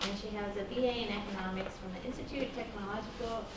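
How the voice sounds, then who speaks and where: A woman gives a measured, formal introduction of a guest speaker. She sounds distant from the mic, with heavy classroom echo and clear background noise. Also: mid-range voice, fairly even intonation. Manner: reads a measured, warm introduction. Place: university classroom. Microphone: far room microphone. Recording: very echoey classroom from far off, noisy.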